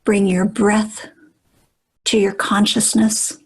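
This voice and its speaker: A woman guiding a meditation in a soft, breathy voice, calm and soothing, with measured phrases and pauses. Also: mid-range voice. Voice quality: soft, breathy, at times near whisper. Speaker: meditation guide. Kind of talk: guided meditation.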